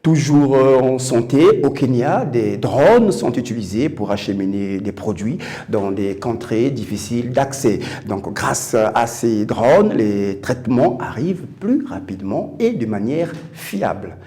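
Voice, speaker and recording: A male news anchor with a soft, mellow timbre introduces reports in French-style bulletin fashion. His delivery is deliberate and broken into measured phrases, at a middling pitch. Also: expressive. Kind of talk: news presenting, links to reports.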